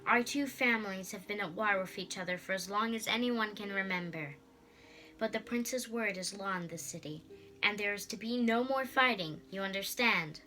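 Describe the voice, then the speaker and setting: Girl reading as a stern storybook lord, bright voice. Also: mid-range voice, moderate pace, close to an everyday voice, low-quality recording. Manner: firm, commanding. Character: stern older lord scolding his hot-headed nephew.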